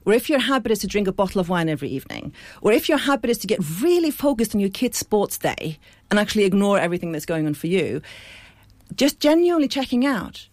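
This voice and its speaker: A woman's voice: mid-range voice, big pitch swings, fast talker, a little mellow, dry recording. A woman from Iceland speaking as a guest in a radio studio, in a mid-range voice. She talks in a thoughtful, earnest way and explains through analogies. The recording is dry and close. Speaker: clinic founder and guest. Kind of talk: studio interview.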